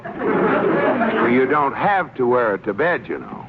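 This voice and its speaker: An actor playing a flustered, scheming but soft-hearted husband in an old radio sitcom, stammering and exclaiming. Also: male voice, mid-range voice, big pitch swings, slow pace. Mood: nervous. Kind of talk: 1940s-50s radio situation comedy acting. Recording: muffled old radio recording, faint noise.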